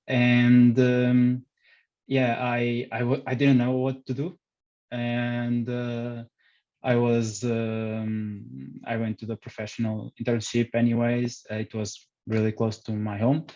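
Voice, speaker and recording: Man with a Portuguese accent, slow and hesitant, flat delivery, dull lo-fi sound. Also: mid-range voice. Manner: hesitant, many fillers and restarts. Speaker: podcast guest, frontend developer. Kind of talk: casual interview answers.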